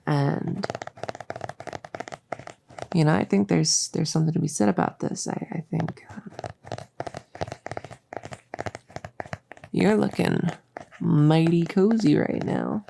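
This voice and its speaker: A performer voicing a bossy yet gentle cultist experimenter in a roleplay audio drama, with a creaky voice and a coaxing, hesitant, rambling manner. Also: mid-range voice, big pitch swings, unhurried, long pauses, noisy background, exaggerated voice. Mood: teasing, coaxing.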